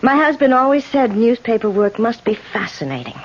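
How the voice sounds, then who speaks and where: High-voiced, flustered widowed landlady. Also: sing-song, moderate pace. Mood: polite, flustered. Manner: hesitant starts, then prim and firm. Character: guarded, respectable widowed boarding-house landlady, flustered but firm. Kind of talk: 1970s radio drama acting. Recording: dull, hissy 1970s radio broadcast recording.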